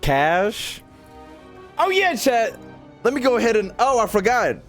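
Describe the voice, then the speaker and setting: A man's voice: extremely high pitch, very animated, unhurried, fairly bright tone, faint music behind. A man chatting casually to his livestream audience while gaming, slangy and profane, with mock exasperation. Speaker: video game streamer talking to chat.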